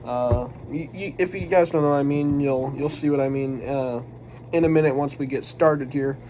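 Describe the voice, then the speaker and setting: A man's voice: mid-range voice, steady tone, normal speed, warm tone, low-quality recording. A man with a stuffy, congested nasal voice giving a casual review, rambling and hesitant and often restarting his sentences. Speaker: manga reviewer. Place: home room with a squeaky office chair. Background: faint background noise.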